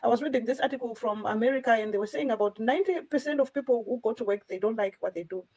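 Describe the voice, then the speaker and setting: A woman talks quickly in a fairly high voice as co-host of a panel. She shares personal experience with earnest emphasis. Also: a little mellow, slightly rough. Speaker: co-host and business owner. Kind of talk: personal account on a discussion panel.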